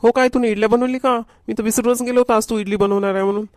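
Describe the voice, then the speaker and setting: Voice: medium pitch, steady tone, racing, darkish tone, dry recording, clean studio sound, natural voice. A performer voicing a food-loving, whiny young schoolboy in a homemade comedy cartoon, with a Varhadi Marathi accent. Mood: eager. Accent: Varhadi Marathi from Vidarbha.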